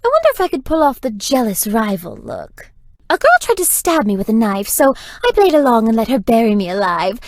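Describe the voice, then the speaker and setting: A woman's voice: a little high, wildly swooping pitch, fairly quick, no echo, clean studio sound. A woman voicing a flirtatious, playful, theatrical vampire in a video game, teasing and melodramatic. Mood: amused, teasing. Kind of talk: scripted video game character dialogue.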